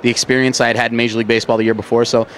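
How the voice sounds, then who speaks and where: Young american dude with trained voice, interview chat outside, talks really fast, bit of city noise. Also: male voice, mid-range voice, flat delivery. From Baltimore, United States. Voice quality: trained theater voice. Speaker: stadium public address announcer, interview guest. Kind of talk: conversational interview answers. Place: outdoors at a ballpark. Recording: faint outdoor urban background noise.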